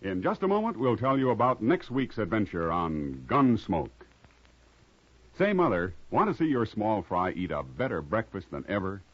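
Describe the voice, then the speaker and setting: Animated American male radio announcer, husky. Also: fairly low pitch, moderate pace, dry recording. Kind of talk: 1950s radio announcing and ad pitch. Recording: muffled vintage radio broadcast.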